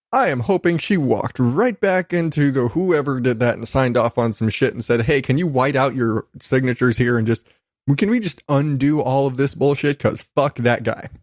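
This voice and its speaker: A man with a fairly high voice delivers a casual podcast monologue in a dry, close recording. He is emphatic and exasperated, and he swears freely. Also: darkish tone, dry recording. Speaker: podcast host. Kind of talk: casual ranting comedy monologue.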